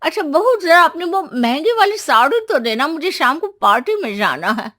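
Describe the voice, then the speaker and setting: A woman voicing a nagging, sly older mother-in-law in an animated family comedy, with drawn-out, reproachful phrasing. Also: sits high. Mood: sly, sweet.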